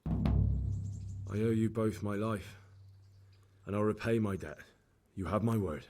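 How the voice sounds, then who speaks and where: Low-pitched, weary young medieval man. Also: slow, creaky, warm tone, faint music behind, exaggerated voice. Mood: earnest, determined. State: weak and weary. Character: earnest, battered young medieval blacksmith's son. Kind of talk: dramatic video game dialogue.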